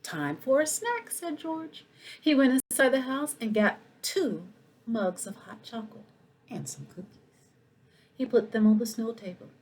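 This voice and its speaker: A woman reading a children's story aloud in an expressive, animated way, with asides to her young listeners. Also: a little high, a little slow. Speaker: school principal reading a picture book aloud.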